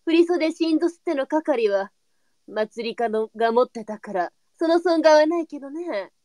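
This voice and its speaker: A woman's voice: high voice, animated, normal speed, warm tone, no echo, clean studio sound. A woman playing a pragmatic, brusque brothel mistress in a live period voice drama, with a blunt and knowing way of talking. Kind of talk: online live voice drama acting.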